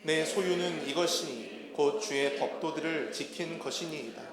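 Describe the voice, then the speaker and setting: A man's fairly high voice reads scripture along with a congregation. Many voices blend around it in a large, echoing church hall with long reverb. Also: normal speed, crowd noise. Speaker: congregation reading in response. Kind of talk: responsive scripture reading in unison.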